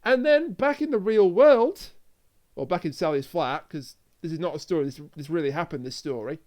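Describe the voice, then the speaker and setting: High-voiced man casually recapping on a podcast. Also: lively intonation, normal speed, a little mellow. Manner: acts out quoted lines while recapping. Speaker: podcast co-host. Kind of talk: casual episode recap and review.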